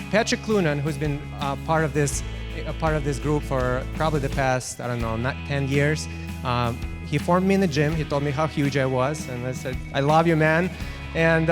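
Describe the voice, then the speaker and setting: Higher-voiced Ukrainian man giving testimony. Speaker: business owner giving a testimonial. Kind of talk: personal testimony to a group.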